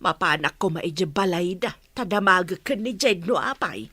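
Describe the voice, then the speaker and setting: A woman's voice: on the low side, animated, moderate pace, sharp tone, dry recording. A woman from the Philippines voicing a worried, talkative older in-law in an audio drama. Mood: concerned. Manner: concerned, talkative.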